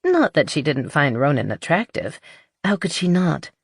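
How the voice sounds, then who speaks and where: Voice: medium pitch, sing-song, moderate pace, breathy edge, no echo, clean studio sound. A narrator reading a scripted romance audiobook.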